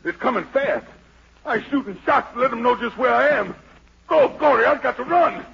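An actor playing a frightened, boastful fugitive emperor with a gravelly American voice in 1940s radio drama, theatrically muttering to himself and crying out. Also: male voice, very high voice, lively intonation, moderate pace, full character voice. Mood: terrified. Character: fleeing self-made emperor, frightened, boastful, haunted, superstitious fugitive. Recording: noisy, muffled 1940s radio broadcast.

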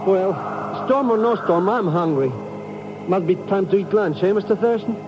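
An actor playing an excitable, grumbling comic sidekick in a radio drama, plain-spoken and plaintive. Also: male voice, high-pitched, normal speed. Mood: restless, hungry. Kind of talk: 1950s radio drama acting. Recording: muffled, noisy 1950s radio recording.

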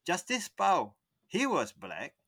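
A young man tells a story on a podcast in a bright, forward voice. The sound is close and dry, with no room around it. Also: sits high, wildly swooping pitch, takes it slowly, no echo. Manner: rambling, often stops and restarts mid-sentence. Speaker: podcast co-host. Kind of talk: casual storytelling chat.

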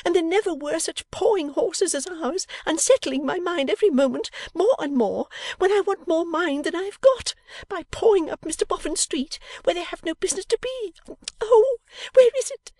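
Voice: extremely high pitch, lively intonation, moderate pace, darkish tone, no echo, clean studio sound, voice pushed up. A reader voicing a silly, tearful young lady who gushes breathlessly while sobbing. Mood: tearful gushing.

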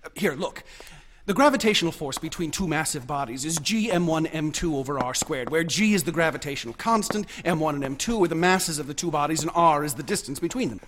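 Eager, high-voiced obsessive young student. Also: male voice, expressive, fairly quick, fairly bright tone, a little hiss or hum. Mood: insistent, excited. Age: young adult. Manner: insistent, interrupting, lecturing. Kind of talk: radio drama acting.

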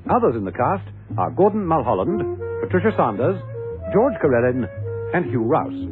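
A male announcer with a mid-pitched, extremely dynamic voice, formal and theatrical. He reads a script in vintage radio style, band-limited, with music playing behind him. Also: big pitch swings. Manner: measured, dramatic, formal. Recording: narrow vintage radio recording under music.

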